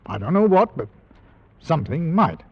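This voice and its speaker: A man's voice: on the high side, very animated, normal speed, husky, no room sound, highs cut off, exaggerated voice. An actor playing a middle-aged, stern but fatherly ship's captain in 1940s radio style, grave and authoritative, on a vintage recording. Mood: firm, grave.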